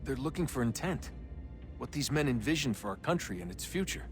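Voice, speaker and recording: A man's voice: medium pitch, lively intonation, a little fast, natural voice. An actor with an American accent playing a troubled, earnest young man in a video game, speaking in a hesitant, understated way. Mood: matter-of-fact. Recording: music behind the voice.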